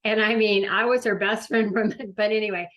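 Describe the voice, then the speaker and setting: A woman's voice: mid-range voice, steady tone, normal speed, lo-fi sound. A woman hosting, giving a chatty, rambling introduction of a guest. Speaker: host. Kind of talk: casual introduction.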